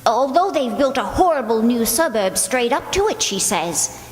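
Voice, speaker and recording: Woman doing a dithery forgetful english lady in a radio play, breathless and gushy, really animated, boomy echo. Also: moderate pace. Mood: chatty. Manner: breathless, dithering, repeats herself. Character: flustered, forgetful, gushing English sister-in-law. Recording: echoey, reverberant hall.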